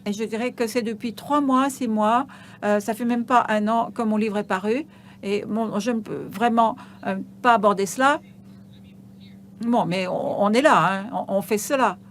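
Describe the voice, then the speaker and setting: A woman giving a live interpretation of an on-stage conversation, halting, with frequent hesitations and restarts. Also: medium pitch, a little hiss or hum.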